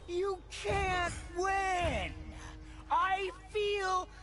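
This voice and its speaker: A man playing an embittered, invulnerable stranger who taunts in a video game, mocking and volatile, with a gravelly voice. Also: extremely high pitch, very slow, sharp tone, exaggerated voice. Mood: angry, taunting. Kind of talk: dramatic video game cutscene acting.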